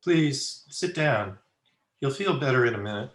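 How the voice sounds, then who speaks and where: A man's voice: mid-range voice, expressive, a little slow, fairly bright tone. A man playing a concerned, solicitous grown son in a radio play, recorded in an echoey room. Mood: comforting. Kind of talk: old-time radio play acting.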